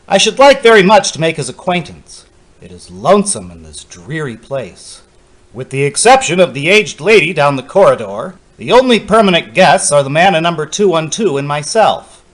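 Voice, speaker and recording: A man acts out a lonely, fussy middle-aged male academic narrating an eerie storybook mystery. His formal, literary delivery is measured yet swoops expressively up and down. He sounds self-pitying and particular about every detail. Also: fairly high pitch, big pitch swings, a bit bright. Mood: lonely, reserved. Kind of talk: dramatic horror story reading.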